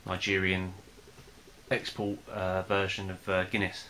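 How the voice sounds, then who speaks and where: A young man casually reviewing a beer at home, talking in a relaxed, hesitant way with many fillers. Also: low voice, measured pace, a little hiss or hum. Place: small room in front of a plain wall.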